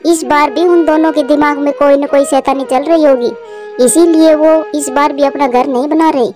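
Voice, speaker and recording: High-pitched kind little female bird, Tuntuni acted by a woman. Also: steady tone, normal speed, mellow, soft background music, lightly acted. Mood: earnest, gentle. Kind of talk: Hindi cartoon dialogue.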